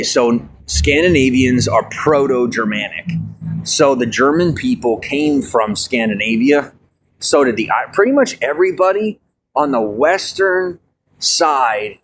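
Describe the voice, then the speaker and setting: A man's voice: mid-range voice, a little hiss or hum. An American man with a slight Norwegian-tinged accent, rambling casually with many fillers and restarts. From United States. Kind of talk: casual monologue.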